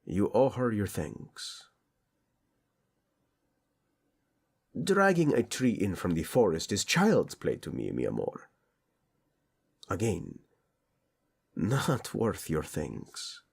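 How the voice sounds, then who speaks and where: A man playing a doting, teasing tyrant prince in a romantic audio roleplay, flirtatious and tender with a husky delivery. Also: on the low side, huge pitch swings, takes it slowly, exaggerated voice. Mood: grateful, earnest.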